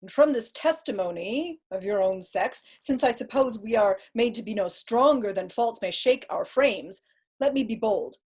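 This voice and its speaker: Husky, cold calculating judge played by a woman. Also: fairly high pitch, animated, normal speed, a little mellow. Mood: calculating, insistent. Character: cold, calculating male deputy judge, coercive and insinuating. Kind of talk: Shakespearean staged reading.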